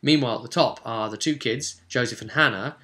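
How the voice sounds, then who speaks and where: A man's voice: mid-range voice, a little fast, pushed and bright. A man giving a casual, unscripted game master's recap, telling it in a rambling, enthusiastic way and often correcting himself.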